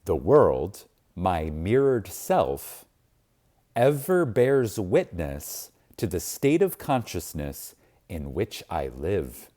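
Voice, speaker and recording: A man with a dark, relaxed voice gives a reverent reading of a spiritual text. His intonation swings widely for dramatic emphasis, and he moves slowly and solemnly, leaving deliberate pauses between phrases. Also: low voice. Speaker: audiobook reader.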